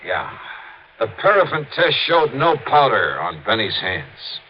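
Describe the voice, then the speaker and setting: An actor playing a shrewd, skeptical American investigator with a wry, questioning manner in a 1950s radio drama. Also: male voice, medium pitch, sing-song, a little slow. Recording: dull, noisy 1950s radio recording.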